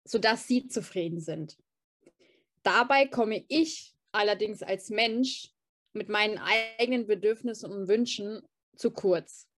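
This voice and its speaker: A woman, a student co-hosting a podcast, reading prepared text in an even manner. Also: mid-range voice, no room sound, studio quality. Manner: reads prepared text evenly. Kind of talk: scripted narration.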